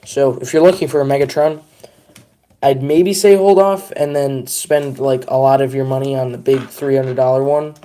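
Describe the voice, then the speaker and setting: A man's voice: medium pitch, fairly even intonation, moderate pace, dark tone. A young man chatting casually on a live stream, rambling and self-deprecating as he talks to his viewers.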